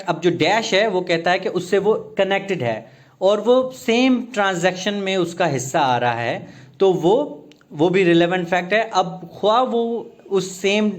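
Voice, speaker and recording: A man with a Pakistani accent lecturing as an exam tutor, reading out questions and explaining them, repeating and rephrasing as he switches between two languages. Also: high-pitched.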